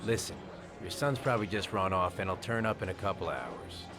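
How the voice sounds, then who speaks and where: A man's voice: deep voice, very animated, fairly quick. An actor voicing a businesslike police lieutenant who questions a father firmly. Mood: brisk. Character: brisk male police lieutenant. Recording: game audio with music behind.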